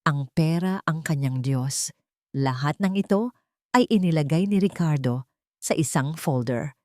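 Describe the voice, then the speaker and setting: A woman's voice: low voice, lively intonation, a little slow, a little mellow, no room sound, studio quality. A woman storyteller reading dramatic scripted narration for an audio drama.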